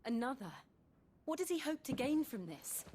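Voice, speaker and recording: An actress playing a cool, wary fighter companion in a video game, terse and dry. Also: female voice, high voice, very animated, normal speed, a little mellow, a little hiss or hum. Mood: skeptical. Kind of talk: video game cutscene acting.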